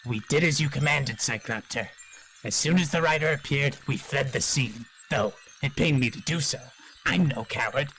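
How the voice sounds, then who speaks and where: A man's voice: medium pitch, moderate pace, vocal fry, a bit bright. An actor playing a proud, indignant villain henchman in an audio drama. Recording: faintly noisy recording.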